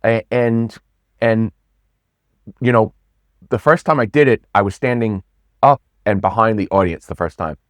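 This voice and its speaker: A man with a very low, deep voice talks as a pitch coach in a casual interview. He speaks with animated stresses and keeps repeating and restarting words. The sound is close and dry, with no room around it. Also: unhurried, long pauses, no echo. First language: not Swedish; English speaker.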